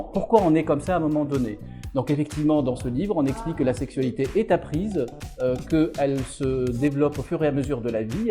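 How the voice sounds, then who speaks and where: A man's voice: fairly high pitch, mellow. A middle-aged man from Algeria, a psychiatrist and author, answering interview questions with many fillers and restarts.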